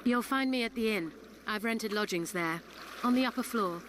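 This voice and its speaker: A woman's voice: fairly high pitch, normal speed, natural voice. A woman voicing a haughty, wry game sorceress. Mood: cool, informative. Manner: imperious, wry. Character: haughty, shrewd sorceress, self-assured and wry. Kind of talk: video game cutscene acting. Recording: game dialogue over clear water noise.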